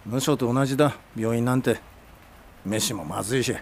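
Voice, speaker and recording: An actor in a radio drama playing a crude, proud old film director, curt and teasing, with a gravelly voice. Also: male voice, mid-range voice, normal speed, darkish tone, a little hiss or hum, natural voice. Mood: gruff, wry. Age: older. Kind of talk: Japanese radio drama acting.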